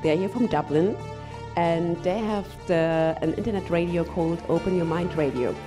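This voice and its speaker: A woman introducing speakers on a conference stage in a polite, halting, formal way. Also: fairly low pitch, music in the background. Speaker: conference presenter introducing guests.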